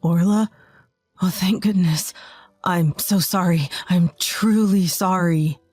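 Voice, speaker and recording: Woman doing a low, apologetic young husband. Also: fairly even intonation, measured pace, no room sound. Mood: relieved. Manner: soft, apologetic.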